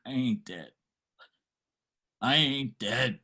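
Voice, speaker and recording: An actor playing a weary homeless man in a dramatic reading, halting and heavy with feeling, with a husky, gravelly voice. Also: medium pitch, pushed and bright, full character voice. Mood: wounded, tender. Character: weary homeless man insisting on his own name.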